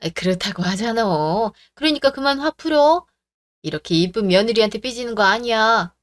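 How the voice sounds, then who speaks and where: A woman's voice: on the high side, lively intonation, moderate pace, lightly acted. A woman voicing a young husband who pleads with his mother and pushes his wife, talking in a defensive way. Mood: placating. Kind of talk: radio drama acting.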